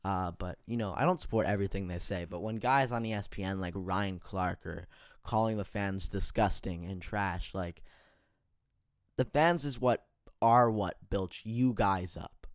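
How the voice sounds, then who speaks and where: A man hosting a casual sports podcast, his voice raspy and hoarse, full of fillers and getting louder as he rants. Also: sits low, unhurried, no room sound, studio quality. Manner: filler-heavy, gets heated and louder when ranting. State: hoarse, tired voice.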